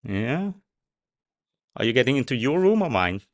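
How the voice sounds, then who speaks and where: A man from Switzerland, a native Swiss German speaker, hosting a live interview in a relaxed, chatty way with fillers. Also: mid-range voice, moderate pace. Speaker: show host.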